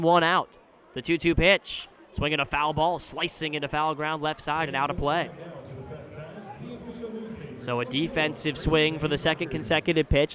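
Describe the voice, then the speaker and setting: A man doing live radio play-by-play commentary of a baseball game, describing the action in a measured way that rises when the play picks up. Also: fairly high pitch, a bit bright, clear, resonant tone. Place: live at an outdoor ballpark.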